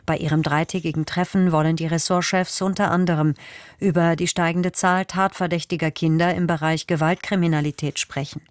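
A woman reading the news on the radio in a measured, formal way. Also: lowish voice, steady tone, no room sound, clean studio sound. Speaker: newsreader. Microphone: studio microphone.

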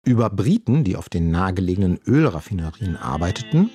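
A man reading a scripted radio culture feature in a measured storytelling tone, close to the mic. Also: sits low, big pitch swings, darkish tone. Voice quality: husky. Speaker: radio reporter.

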